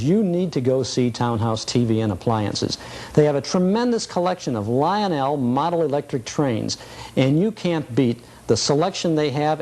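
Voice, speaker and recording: A man's voice: fairly high pitch, a little mellow. A middle-aged man hosting a show in a folksy, conversational, genial way. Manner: genial, appreciative. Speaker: show host.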